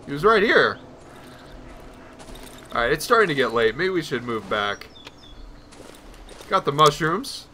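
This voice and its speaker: A man with a bright, slightly high voice commentates a video game in a casual way. He rambles nervously, often talking to himself. Some noise and game ambience sit behind him. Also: sing-song, a little slow, long pauses. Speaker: gaming video host. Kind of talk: casual let's play commentary.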